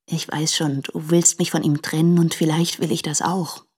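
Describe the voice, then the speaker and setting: An actress playing an anguished married woman in a radio play, speaking hesitantly in a hushed, breathy voice. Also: deep voice, level tone, moderate pace, no echo. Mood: troubled. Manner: hesitant, subdued. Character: anguished married woman torn between husband and lover. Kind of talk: intimate German radio play monologue. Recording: close dry studio, music behind.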